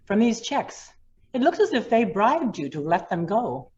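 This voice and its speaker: A woman's voice: mid-range voice, big pitch swings, a little slow, a little mellow, lo-fi sound. A woman playing a ruthless, commanding lawyer in an old-time radio drama, hard and threatening in manner. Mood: coolly menacing. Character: ruthless, commanding female lawyer blackmailing a judge.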